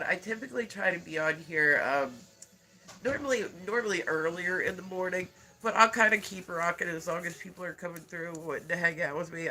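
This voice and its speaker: Streamer, male, stuffy nose, high voice, rambling casual chat. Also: pushed and bright, poor audio. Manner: rambling, many filler words, frequent laughter. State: congested, nasal. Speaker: live streamer.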